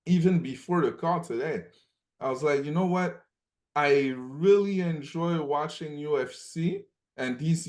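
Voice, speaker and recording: A young man from Cameroon sharing stories on a group coaching call, emphatic and repetitive, often checking in with "okay?". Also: a little high. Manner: emphatic, repeats points, frequent "okay?" check-ins.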